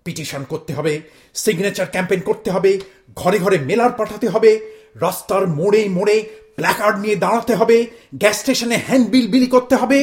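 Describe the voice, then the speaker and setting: High-voiced, theatrical father figure played by a man; boastful, big plans, audio play. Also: fast, lightly acted. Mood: fired-up. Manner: boastful, theatrical, mixing in English phrases. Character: fired-up middle-aged father with grand protest plans.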